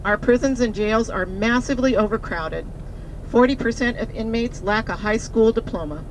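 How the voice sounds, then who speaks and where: A middle-aged woman reading a prepared speech into a handheld microphone as a community advocate aboard a moving tour bus, earnest and measured. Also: on the high side, rough recording. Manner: earnest, measured, slowing on key phrases. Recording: bus with road noise behind. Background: vehicle engine and road noise.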